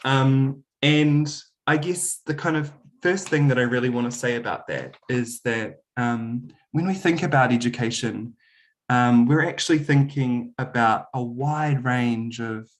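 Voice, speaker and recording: A man's voice: mid-range voice, measured pace, poor audio. A man with a New Zealand accent giving a friendly university webinar presentation over a video call, with frequent "um" fillers. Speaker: university lecturer.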